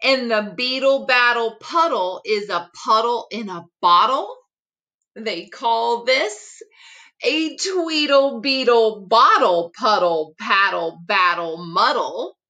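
Bright-voiced woman reading a children's rhyme book. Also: a little high, animated. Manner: deliberate, careful tongue-twister delivery. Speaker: school teacher reading aloud. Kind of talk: expressive read-aloud of a rhyming children's picture book. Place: small room at home, indoors.

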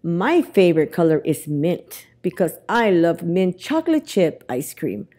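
A middle-aged woman reading a children's picture book aloud at storytime, expressive and deliberate, as if to young children. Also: lowish voice. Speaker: library storytime reader. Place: indoors in a library, among bookshelves. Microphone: lapel mic.

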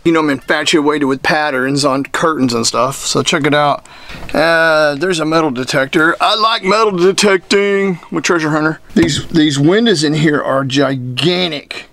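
A man's voice: fairly high pitch, fairly bright tone. A man talks like a vlogger showing someone around. He is enthusiastic and folksy, commenting off the cuff with plenty of slang, recorded on a handheld camera in a small interior. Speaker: vlogger giving a vehicle walkthrough. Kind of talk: casual, off-the-cuff tour commentary. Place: mostly inside a small cluttered motorhome.

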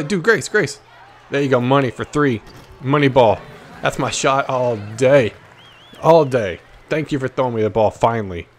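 An American man with a mid-range voice hosts casual gameplay commentary. He is excitable and playful, tossing out trash talk and dropping into muttered asides. Faint basketball game noise runs behind him. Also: mid-range voice. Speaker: gaming video host. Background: basketball video game sounds.

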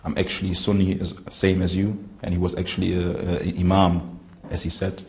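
A man, recounting events haltingly in an interview and searching for words. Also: deep voice, monotone, a little mellow, a little hiss or hum. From Germany. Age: 20s. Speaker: interviewee, former militant. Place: indoor room with barred windows.

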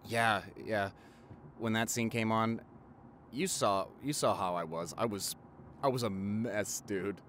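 A man's voice: fairly low pitch, measured pace, a bit bright, a little hiss or hum. A young man playing an affectionate, playful doctor boyfriend in an intimate audio roleplay, chatty and gushing as he talks to the listener. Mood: earnest. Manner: gushing, chatty, with repeated words and exclamations.

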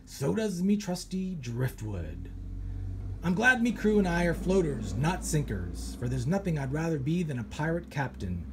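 A man, a children's author, reads a science picture book aloud with animated storytelling and pirate flair. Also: on the high side, normal speed, noisy background. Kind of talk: expressive read-aloud.

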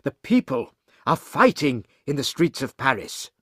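A man voicing a banker who gravely delivers alarming news in measured, emphatic sentences, in an audiobook. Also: medium pitch, sing-song, bright, forceful tone, no echo, clean studio sound, heavily acted. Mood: grave, urgent.

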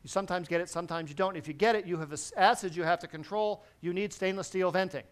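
Man, middle-aged, technical presenter, lively, quizzing audience, clear smooth voice, lapel mic. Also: high-pitched, fast. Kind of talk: technical lecture to a seated audience. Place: large conference hall.

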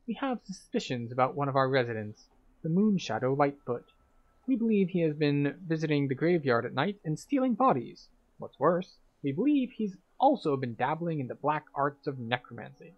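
A man's voice: a little high, sing-song, moderate pace, highs cut off, faint music behind. Man reading as a formal male investigator. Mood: formal, concerned.

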